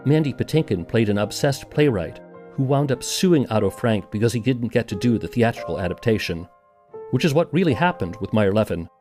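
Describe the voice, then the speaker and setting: A man's voice: mid-range voice, animated, brisk, a little mellow. A man hosting a podcast, reading scripted documentary narration. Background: music.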